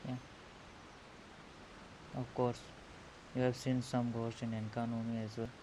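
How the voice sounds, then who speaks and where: Male game streamer, mid-range voice, casual halting reactions, noisy hissing earphone-mic sound. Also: mid-range voice, level tone. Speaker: gaming video host. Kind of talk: casual gameplay commentary. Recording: hissy, murky earphone mic.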